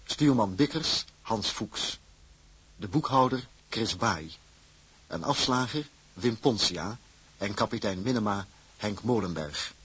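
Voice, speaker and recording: Husky, low-voiced male radio announcer. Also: lively intonation, slow pace, fairly bright tone. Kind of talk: formal scripted credits reading.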